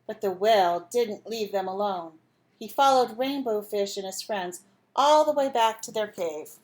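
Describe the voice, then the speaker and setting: A woman reading a storybook aloud as a teacher would, pausing to put simple questions and instructions to young listeners. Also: medium pitch. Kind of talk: storybook read-aloud with asides to children.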